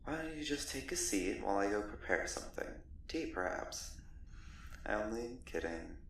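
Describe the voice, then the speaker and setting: A genteel, gloomy vampire played by a man holds his captive in an ASMR roleplay, condescending and softly threatening. His low voice crackles with fry, set back from the mic in a very echoey space. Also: sing-song, normal speed, far from the mic, exaggerated voice. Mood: polite, teasing. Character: courtly male vampire captor, gloomy, politely menacing. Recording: roomy echo, off the mic, faint noise.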